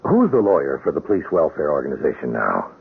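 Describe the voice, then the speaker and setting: A senior police captain in a radio drama, cautious and probing. The voice is extremely husky and creaky, with dramatic swings in pitch. It comes through a thin old broadcast. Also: male voice, huge pitch swings, quick pace, heavily acted. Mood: cautious, grave. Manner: hesitant, probing. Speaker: actor in a radio mystery drama. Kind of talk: 1970s-style radio drama acting. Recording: tinny, band-limited old radio broadcast.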